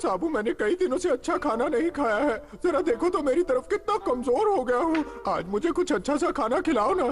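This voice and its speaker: A woman's voice: high-pitched, animated, fairly quick, hardly any pauses. A woman voicing a picky, demanding young boy in a Hindi cartoon dub, nagging and sulky. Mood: wheedling. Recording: loud background music.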